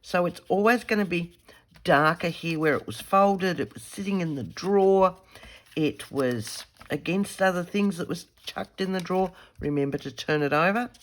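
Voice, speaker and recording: An Australian woman with a croaky head-cold voice, chatting casually as she demonstrates a craft at her desk, thinking aloud and correcting her own words. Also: fairly low pitch, normal speed. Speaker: craft tutorial host.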